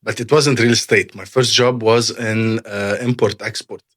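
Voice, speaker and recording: A man's voice: lowish voice, fairly even intonation, moderate pace, fairly bright tone. A man in his thirties from Lebanon, a podcast guest in real estate sales, talking casually with repetitive, emphatic phrasing in English.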